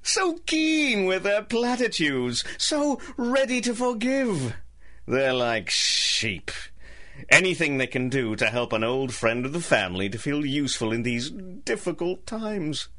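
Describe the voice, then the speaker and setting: Theatrical, scheming gentleman villain, slow and swooping. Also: a little high, sing-song, full character voice. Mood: bitter, scornful. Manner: theatrical, formal, ornate speech. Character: scheming, embittered ruined gentleman villain, smooth and contemptuous. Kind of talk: 1930s-set radio drama acting.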